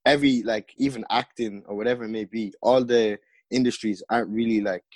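Young male podcast guest from the Netherlands, low voice, laid-back and slangy, recorded dry and close. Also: a little fast, no echo. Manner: relaxed storytelling, slangy with many fillers. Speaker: podcast guest, upcoming music artist. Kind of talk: casual podcast chat.

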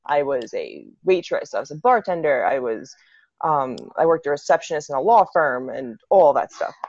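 A woman's voice: deep voice, expressive, moderate pace, a little mellow, dry recording. Chatty young woman, dull lo-fi sound. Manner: chatty, restarts sentences, laughs often. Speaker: FDNY EMT and fitness coach guest. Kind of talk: casual podcast conversation.

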